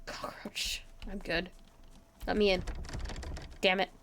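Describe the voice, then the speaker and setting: A woman giving excitable live gameplay commentary, talking to herself and to her viewers. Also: mid-range voice, unhurried, slight background noise. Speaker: game streamer. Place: indoors, streaming at a computer.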